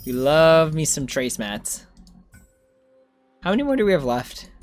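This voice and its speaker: A woman's voice: deep voice, big pitch swings, measured pace, soft background music. A woman streamer chatting casually and in slang while she plays a game. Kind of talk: casual livestream gameplay chat.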